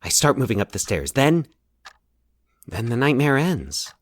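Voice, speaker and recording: A man acting a troubled, anxious man in a fiction podcast, confiding his nightmares haltingly and with dramatic pauses. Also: mid-range voice, big pitch swings, no echo, pitched above the performer's own voice. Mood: tense. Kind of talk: dramatic audio fiction monologue.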